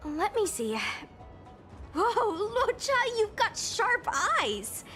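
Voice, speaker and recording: A very high, animated young knight from a fantasy game, bossy and informal. She speaks at an unhurried pace with big swings of pitch, over faint music. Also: heavily acted. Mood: flustered, surprised. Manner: informal, assertive. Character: brash, bossy, easily flustered young female knight. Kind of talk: video game voice acting. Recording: music behind the voice.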